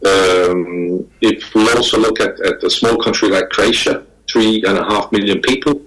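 Man, lowish voice, halting speech, guest panelist on a video call. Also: fairly even intonation, fairly bright tone, poor audio. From Denmark. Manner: halting, many repeated words. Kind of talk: remote panel talk.

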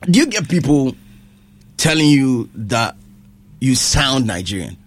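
A man's voice: mid-range voice, big pitch swings, measured pace, breathy edge. A man hosting a studio interview, asking playful, curious questions. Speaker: interview host.

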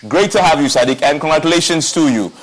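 A man's voice: a little high, animated, fast, poor audio. A man hosting a political talk show, asking upbeat, probing questions of his guests in the studio. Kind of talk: political interview.

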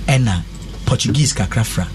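A man's voice: medium pitch, expressive, normal speed, a little mellow, a little hiss or hum. A man hosting a weekend talk show, explaining things patiently in casual studio banter. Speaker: show host.

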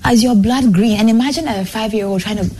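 A young woman guest sharing her own story on a talk show, earnest and animated, her phrases tumbling out with a lot of "like". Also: on the high side, fast talker, a crowd in the background.

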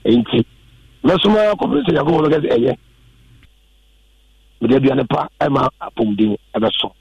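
A Ghanaian man answers in a live radio interview, earnest and a little halting. His voice is husky and mid-pitched, and the sound is muffled and dry. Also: expressive, dry recording. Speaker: restaurant owner guest.

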